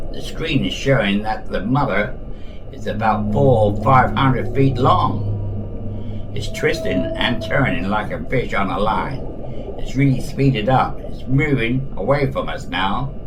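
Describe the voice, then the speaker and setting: A man plays a deep-sea navy commander in a radio drama. He speaks slowly and fairly low, full of wonder, through a noisy feed with ambience behind him. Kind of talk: radio play acting.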